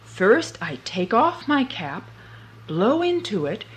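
A woman voicing a clever, cheeky young farm boy who talks brightly and slyly. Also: mid-range voice, big pitch swings, takes it slowly.

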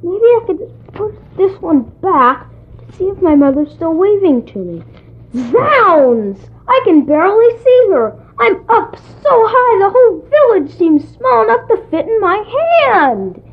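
A woman playing young boy Jack, high and earnest. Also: very animated, measured pace, heavily acted. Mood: wondering. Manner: earnest, eager boyish delivery. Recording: tinny phone-like old recording.

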